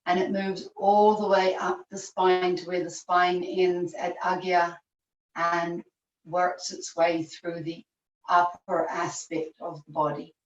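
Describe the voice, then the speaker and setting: Slow, echoey woman guiding deep relaxation. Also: flat delivery, slight background noise. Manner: slow, measured instruction in short phrases with long pauses. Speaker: yoga teacher. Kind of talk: guided relaxation meditation. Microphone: video call, at a distance. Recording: distant, echoey, muffled video-call recording.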